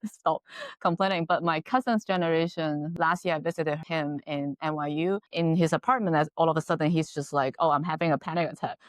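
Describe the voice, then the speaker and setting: A woman's voice: deep voice, steady tone, fairly quick, dry recording. A woman from China, a native Chinese speaker, hosting an interview and asking curious questions in English with small grammar slips. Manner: curious, warm laughs, occasional grammar slips. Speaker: podcast host, interviewer. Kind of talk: interview questions, casual chat.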